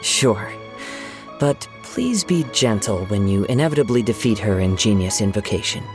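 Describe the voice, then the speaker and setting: A man playing a gentle, courteous young wandering swordsman in an anime video game, calm and soft-spoken. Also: lowish voice, moderate pace, over music.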